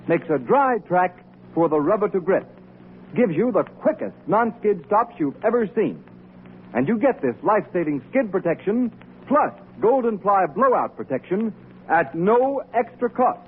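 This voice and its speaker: A man's voice: high voice, slightly rough. A man announcing in polished, declamatory old-time radio style. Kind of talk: 1930s radio announcing, scripted ad read. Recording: tinny old radio recording.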